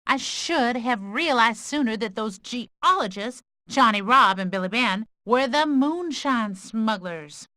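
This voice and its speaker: A woman's voice: a little high, takes it slowly, hardly any pauses, no echo, studio quality, exaggerated voice. A woman playing a spirited, earnest young country woman with a Southern US accent in a video game cutscene. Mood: rueful. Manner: expressive, emphatic storytelling.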